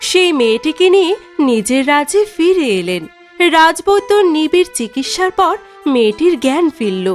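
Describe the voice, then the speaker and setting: A woman's voice: high voice, very animated, moderate pace, faint music behind. A woman giving scripted storybook narration of a fairy tale.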